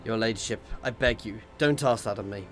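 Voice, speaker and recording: A man with a slightly hoarse voice reading aloud the lines of a desperate male peasant rebel prisoner, in a hushed, pleading way. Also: lowish voice, brisk, soft background music, natural voice.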